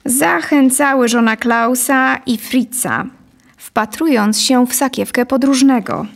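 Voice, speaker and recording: A woman's voice: high voice, slow, gravelly. A woman in her thirties reading scripted story narration into a close studio microphone. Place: dim studio set, indoors. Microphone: close studio condenser microphone.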